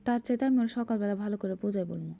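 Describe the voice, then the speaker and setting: A woman's voice: mid-range voice, very fast, gravelly, warm tone, lo-fi sound, natural voice. A Bangladeshi woman playing a patient, coaxing mother in an amateur cartoon.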